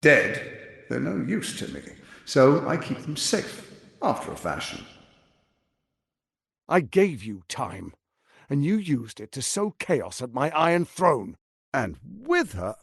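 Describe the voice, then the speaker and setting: An actor playing a suave, scheming tyrant lord in a fantasy video game, speaking in a pompous, silky, mock-courteous and theatrical manner. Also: male voice, on the high side, vocal fry, slightly rough, no room sound, studio quality, heavily acted. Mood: smooth, reproachful.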